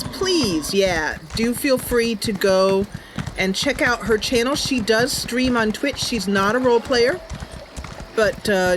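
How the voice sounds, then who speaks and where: A woman's voice: fairly high pitch, background noise. A woman giving casual, chatty, enthusiastic livestream commentary as she plays a game. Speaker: game streamer and channel host. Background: game music and sounds.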